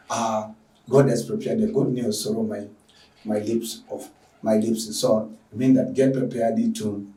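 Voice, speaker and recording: Man, online lecturer, from Tanzania, effusive and repetitive, roomy echo, mellow. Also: lowish voice, distant mic. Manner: effusive, repetitive, with frequent fillers. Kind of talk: lecture introduction.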